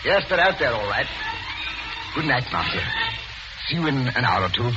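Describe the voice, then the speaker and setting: Man acting as formal solicitous husband, courteous, medium pitch, muffled old radio drama, loud music behind. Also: sing-song, moderate pace, natural voice. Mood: reassuring, courteous. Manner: courteous, reassuring. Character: solicitous businessman husband, smooth, formal, slightly uneasy.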